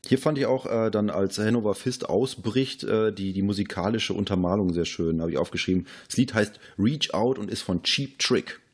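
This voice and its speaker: A man's voice: lowish voice, normal speed, few pauses, slightly rough. A man co-hosting a podcast, talking casually about films. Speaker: podcast co-host. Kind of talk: casual film discussion.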